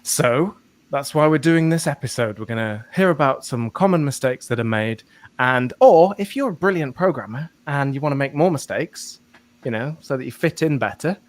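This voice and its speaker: A man's voice: mid-range voice, animated, moderate pace, dry recording. A man hosting a live podcast, joking and self-mocking as he runs the show. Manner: playful, self-mocking, talks to himself in two voices. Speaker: podcast host. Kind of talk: live podcast banter and intro.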